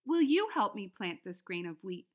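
A woman voicing an industrious, self-reliant little red hen in a storybook, with emphatic, drawn-out delivery. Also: medium pitch, sing-song, close to an everyday voice. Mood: eager.

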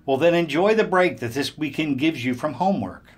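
A man playing a matter-of-fact high school teacher in an audio drama. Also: animated, brisk, breathy edge.

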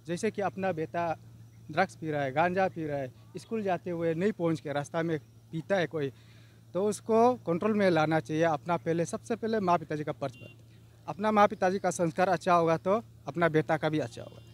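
A man's voice: on the high side, a little fast. A middle-aged man from Arunachal Pradesh, earnestly answering a street interview outdoors by a river into a handheld mic. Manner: earnest, repetitive, appealing. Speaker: vox pop interviewee.

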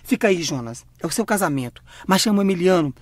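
A man's voice: sits high, expressive, fast, no room sound. A man with a Brazilian accent playing an earnest, compassionate farm worker in a radio drama. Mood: distressed.

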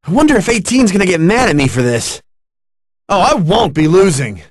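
An actor playing an earnest young martial-arts hero in an anime fighting game, with an intense, emphatic delivery. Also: male voice, high voice, big pitch swings, normal speed, no echo, clean studio sound, heavily acted.

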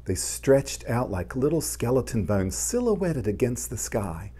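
A middle-aged man reading a children's picture book aloud in a gentle, deliberate manner, explaining as he goes. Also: mid-range voice, big pitch swings, husky. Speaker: storytime host, pastor. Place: living room, indoors.

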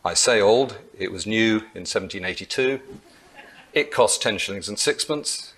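A man's voice: fairly low pitch, lively intonation, normal speed, a bit bright, husky. A man in his sixties giving a lecture at a lectern, telling an anecdote with dry, wry humour, picked up by a lectern mic.

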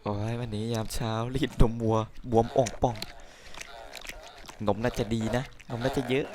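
A man's voice: fairly even intonation, normal speed, heavy background noise. A man doing improvised comedic cartoon dubbing, exclamatory and theatrical, with crude, slangy wording. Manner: theatrical, often jumps into shrieks and falsetto. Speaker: comic dubber of a cartoon.

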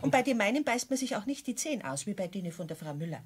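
A woman's voice: mid-range voice, big pitch swings, fast, slightly rough. An actress playing a chatty, nosy, fussy older neighbour woman with a Bavarian accent in a radio play. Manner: gossipy, rambling.

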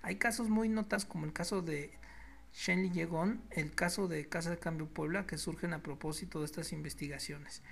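A man's voice: fairly high pitch, fairly even intonation, moderate pace, creaky, fairly bright tone. A man with a Mexican accent giving an indignant, sarcastic news commentary monologue as a political blogger. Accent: Mexican Spanish. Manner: speaks to listeners as "you all".

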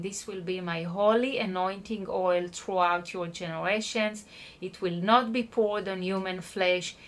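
A woman teaching an online Bible study, explaining patiently and checking in with her listeners. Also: lowish voice. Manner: explanatory, spells out words, checks in with "okay?".

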